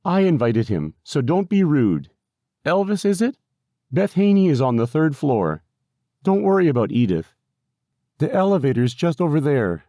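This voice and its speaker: A man voicing a brisk, reassuring female care worker in an audiobook. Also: a little high, very animated, warm tone, no room sound, studio quality, voice pushed up. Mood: firm, reassuring.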